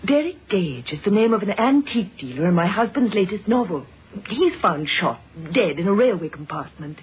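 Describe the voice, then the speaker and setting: An actress playing a polite, composed British wife in an old radio drama, speaking in a courteous, measured and apologetic way. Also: female voice, medium pitch, sing-song, breathy edge, highs cut off. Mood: helpful, composed. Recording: thin, noisy old radio recording.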